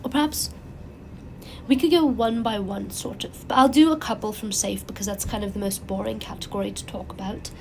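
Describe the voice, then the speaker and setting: A woman with a cold, her voice raspy and congested, chatting casually and rambling animatedly as the host of her own channel. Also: mid-range voice, a little fast, a little hiss or hum, poor audio. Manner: rambling, animated, frequent fillers and restarts.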